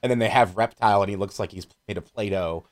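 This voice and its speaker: A man's voice: fairly low pitch, fast talker, noisy background. A man in a casual, opinionated chat about films as a podcast guest, swearing freely and wandering into asides.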